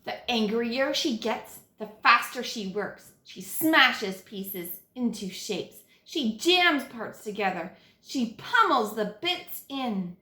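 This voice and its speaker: A woman teacher reading a children's picture book aloud, with dramatic, deliberate phrasing and stressed key words. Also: on the high side, lively intonation, pushed and bright, roomy sound. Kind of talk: expressive children's read-aloud narration.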